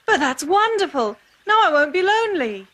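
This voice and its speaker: A woman's voice: very high voice, very animated, moderate pace, a bit bright, full character voice. A woman voicing a naive, kindly young boy who sounds innocent and hesitant, in a dubbed cartoon. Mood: surprised, friendly. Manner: innocent, hesitant, easily surprised. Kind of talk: dubbed animated fairy tale acting. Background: music.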